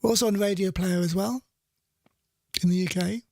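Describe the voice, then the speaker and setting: A man's voice: high-pitched, fairly even intonation, normal speed, gravelly, darkish tone, no echo. A middle-aged man presenting a radio show in casual, rambling live chat, often repeating his phrases.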